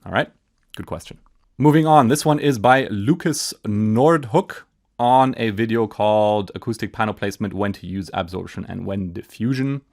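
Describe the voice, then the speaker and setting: A man in his thirties with a German accent talks through listener questions in his home studio, his lowish voice picked up by a studio mic in front of him. He is enthusiastic and chatty, full of "uh" and quick restarts. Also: creaky, a little mellow. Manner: enthusiastic, gesturing, frequent fillers and restarts. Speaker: acoustics educator answering viewer comments. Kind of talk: casual explanatory talk. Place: home studio room with acoustic treatment, indoors. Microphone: studio microphone on a stand in front.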